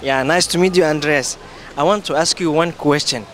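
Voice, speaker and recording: Somali guy doing casual street interviews, bit high pitched, lots of traffic noise. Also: moderate pace, a bit bright. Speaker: street interviewer, YouTube host. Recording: noisy outdoor urban street.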